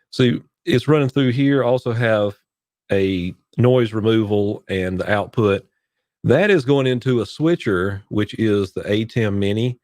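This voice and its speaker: A man hosting a casual live church-tech Q&A from his home studio, sick with a cough, rambling as he clicks through his gear on a boom-arm condenser mic. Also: darkish tone, dry recording. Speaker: church tech livestream host. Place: home studio, heater running behind.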